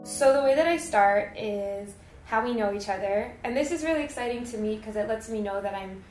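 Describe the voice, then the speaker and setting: A young woman speaks as a podcast host with a fairly high voice. Her talk is casual, slangy and effusive, like a chat between peers. The room adds a noticeable echo around her words. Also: brisk, darkish tone, soft background music. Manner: effusive, informal slangy speech. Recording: roomy, echoey sound.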